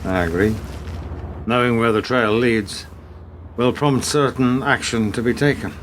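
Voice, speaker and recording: An actor in a radio play, playing a calm, coldly measured British spy who confronts a traitor colleague in a composed, deliberate way. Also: male voice, medium pitch, a little hiss or hum, close to an everyday voice. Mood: cold, accusing.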